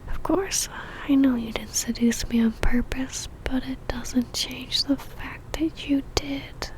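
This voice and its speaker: A woman's voice: high voice, poor audio. Woman acting a whispering possessive vampire seductress. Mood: seductive, possessive. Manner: slow, intimate, teasing, softly menacing. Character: possessive, obsessive female vampire, seductive and menacing. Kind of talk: ASMR roleplay, whispered.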